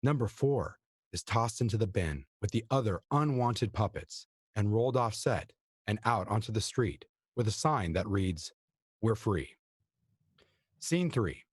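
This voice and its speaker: Husky, low-voiced man narrating a play. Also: animated, measured pace, dry recording, clean studio sound. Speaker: stage directions reader.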